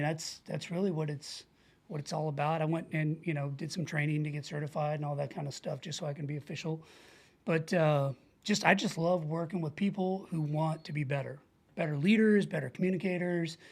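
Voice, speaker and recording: A middle-aged American man speaking earnestly and informally as a coach being interviewed, often illustrating with examples. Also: a little high. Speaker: podcast guest, leadership coach. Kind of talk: casual conversational interview.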